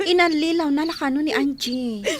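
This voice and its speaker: A woman's voice: sits high, normal speed, very noisy, natural voice. A woman playing a meek, sympathetic young housemaid in a radio drama, plaintive and deferential. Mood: concerned. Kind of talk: Ilocano radio drama acting.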